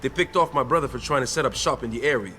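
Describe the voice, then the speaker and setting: A man's voice: medium pitch, fast talker, bright, forceful tone, natural voice. An actor playing a laid-back young male gang dealer in a game, talking in easygoing street slang. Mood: casual. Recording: game dialogue with faint music.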